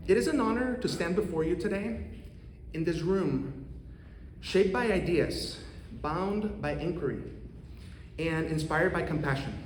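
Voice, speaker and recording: Costa rican guy in his 30s giving an earnest talk, kinda high voice, big roomy hall echo, faint room noise. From Costa Rica. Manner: earnest, measured, with occasional restarts. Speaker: economics professor giving a talk. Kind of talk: prepared address to a congregation.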